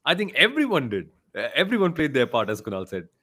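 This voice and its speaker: A man's voice: on the high side, huge pitch swings, fast talker, pushed and bright, husky. A man hosting a casual motorsport podcast, making his points emphatically and reading out listener comments. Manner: emphatic, repeats points for weight.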